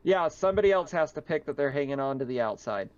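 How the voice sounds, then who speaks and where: A man's voice: a little high, lively intonation, fast talker. A man acting as game master, talking casually and narrating a tabletop roleplaying session. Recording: dull lo-fi with background noise.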